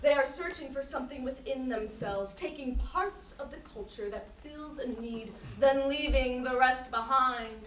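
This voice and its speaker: Woman acting a high-voiced, stubborn intellectual, roomy stage. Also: fairly bright tone. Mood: lecturing, contrarian. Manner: contrarian, emphatic, halting, repeats and negates. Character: stubborn, evasive female intellectual lecturing on culture and intention. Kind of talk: staged reading of a play before an audience. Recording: roomy, distant stage recording with faint noise.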